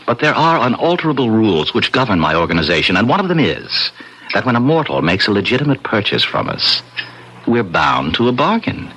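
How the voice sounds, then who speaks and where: A man's voice: on the low side, sing-song, brisk, a bit bright. A man playing a suave, sly devil posing as a courteous businessman, speaking in urbane formal phrases in 1970s radio drama style. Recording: noisy vintage radio broadcast.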